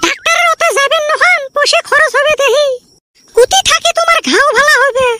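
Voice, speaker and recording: Squeaky nagging village wife. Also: female voice, normal speed, background noise. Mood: exasperated nagging. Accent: northern Bengali (Rangpuri). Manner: scolding, complaining. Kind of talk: comic cartoon dialogue.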